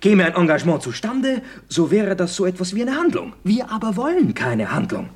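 Crafty, over-polite thespian for radio comedy; animated, slightly high, rough-edged, theatrically deferential. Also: male voice, a little fast, heavily acted. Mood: pompous, evasive. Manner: mock-deferential, florid, theatrical. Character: wily, effusively polite male actor scheming around a theatre director. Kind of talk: comic German radio play acting.

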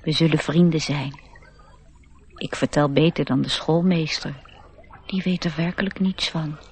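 A woman acting a proud, youthful male nature sprite, self-assured and gently instructive, in a radio play. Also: low voice, steady tone, unhurried, husky, heavily acted. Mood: confiding.

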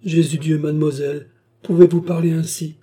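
A devoted older maidservant from a storybook, voiced by a man, fussing protectively over her mistress. The voice is raised in pitch yet soft and dark, with a husky grain, and it exclaims readily in deferential protest. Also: flat delivery, brisk. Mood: shocked, protesting. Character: devoted fifty-year-old peasant maidservant, fussy and indignant. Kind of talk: audiobook character dialogue.

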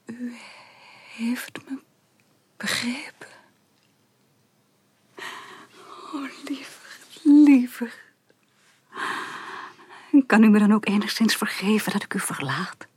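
An actress playing a melancholy, earnest young upper-class woman in a Dutch radio drama, speaking formally and softly. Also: on the high side, takes it slowly, long pauses, slightly rough, no echo, heavily acted. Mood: anguished, pleading. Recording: radio drama with music underneath.

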